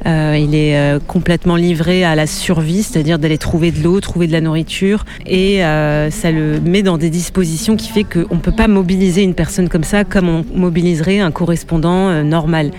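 Measured, hesitant woman journalist. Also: fairly low pitch, steady tone, darkish tone. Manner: measured, thoughtful, frequent hesitations. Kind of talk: interview answers.

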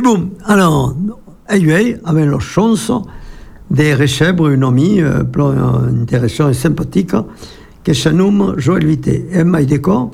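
An older man with a deeply gravelly, husky voice leads a relaxed conversation. His tone is dark and mellow, and he circles back over his own questions in a loose, rambling way. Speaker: interviewer. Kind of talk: casual local interview.

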